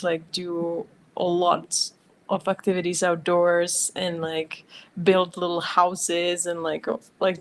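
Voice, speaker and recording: Casting: young Czech woman, accented English, low-ish voice, laid-back interview chatter. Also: a little hiss or hum. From Czech Republic. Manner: relaxed, chatty, many "like" fillers. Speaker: guest, professional snowboarder. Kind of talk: casual interview answers.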